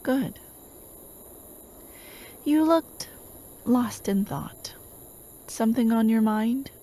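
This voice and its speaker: A woman playing a doting, possessive female hypnotist in a soft-spoken ASMR roleplay, gentle and coaxing, often slipping into a whisper. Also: on the high side, very slow, low-quality recording. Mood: tender, attentive, then soothing, wistful. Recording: steady outdoor night ambience, chirping crickets. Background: crickets and night insects.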